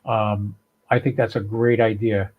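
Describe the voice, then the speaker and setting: A man's voice: on the low side, level tone, measured pace, phone-quality sound. An American man hosting a casual, unscripted livestream, talking in a folksy, rambling, informal way. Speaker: podcast and livestream host.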